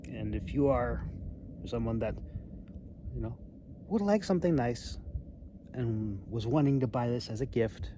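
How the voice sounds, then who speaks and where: A man in his thirties talks casually into a phone held at arm's length outdoors. He rambles through a review with a wry, hesitant manner and drifts off mid-thought. There is some light noise around his mid-pitched voice. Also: unhurried, long pauses. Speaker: amateur knife reviewer. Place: outdoors in a snowy open river valley.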